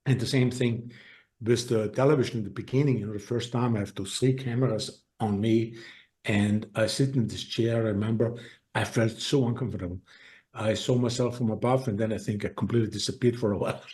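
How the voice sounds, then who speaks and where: A man's voice: on the low side, fairly even intonation, darkish tone. A man with a heavy Austrian accent, talking earnestly as a podcast guest and restarting his sentences often. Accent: Austrian German. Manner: earnest, halting with many restarts. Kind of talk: casual interview talk.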